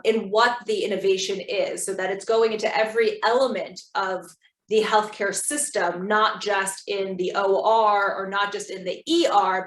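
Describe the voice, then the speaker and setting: A woman acts as moderator of an online panel, speaking in a measured and polite conversational style. Her mid-pitched voice comes with a noticeably roomy echo. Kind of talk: moderating a webinar discussion. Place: indoor room, online webinar. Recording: roomy, echoing webinar audio.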